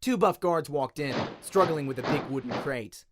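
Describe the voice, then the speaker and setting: A man with an Australian accent giving an expressive, dramatic audiobook narration in radio-play style. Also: on the high side, moderate pace, noisy background. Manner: expressive storytelling.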